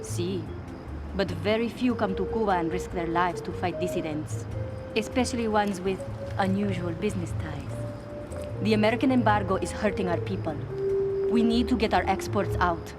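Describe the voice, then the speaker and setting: An actress playing a poised, guarded official and translator in a video game cutscene, formal and pointed. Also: female voice, medium pitch, lightly acted.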